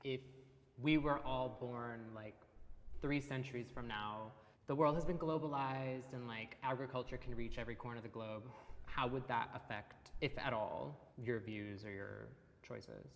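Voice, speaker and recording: A woman in a conversational group debate, speaking in halting phrases peppered with "like". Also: rumbling low. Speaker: discussion panelist. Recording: reverberant room with faint background noise.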